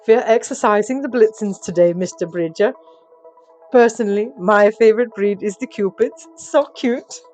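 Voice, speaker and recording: A woman's voice: mid-range voice, moderate pace, darkish tone, soft background music, close to an everyday voice, rough recording. A woman voicing a giddy, eager, prim and chirpy male North Pole elf guide in a story. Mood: giddy, fond. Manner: prim, chirpy, turns imperious.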